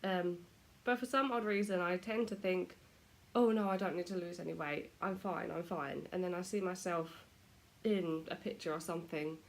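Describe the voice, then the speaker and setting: A woman's voice: on the low side, steady tone, moderate pace, hardly any pauses. A British woman chatting casually to camera at home, self-deprecating, her nose stuffy from a cold. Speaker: vlogger.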